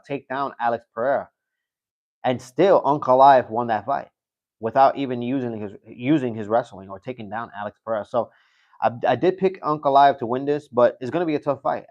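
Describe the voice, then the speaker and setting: Rambling man on a lo-fi stream. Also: mid-range voice. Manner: rambling, repeats himself, fillers and profanity. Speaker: livestream host. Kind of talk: casual live-stream commentary.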